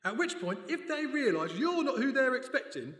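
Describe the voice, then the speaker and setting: A loyal, bewildered British son in a radio play. His high voice swings about with incredulous, wry questions. The sound is that of an echoey hall. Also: big pitch swings, moderate pace, a bit bright, full character voice. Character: bewildered, loyal son and reluctant sleuthing sidekick. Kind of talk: radio play comedy-mystery acting. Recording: echoey hall, live stage recording.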